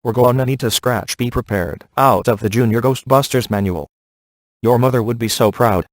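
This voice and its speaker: Game role: wisecracking ghost hunter, male actor. Mid-range, deadpan, sarcastic, cocky and offhand. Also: mid-range voice, moderate pace, no room sound, close to an everyday voice. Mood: dry, wisecracking. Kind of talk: video game voice acting.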